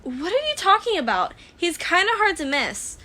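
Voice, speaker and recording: A woman voicing an excitable, cheeky young inventor girl in a fan fiction audiobook. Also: very high voice, normal speed, pitched above the performer's own voice, full character voice. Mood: breezy. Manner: exclaiming, dismissive, cheeky.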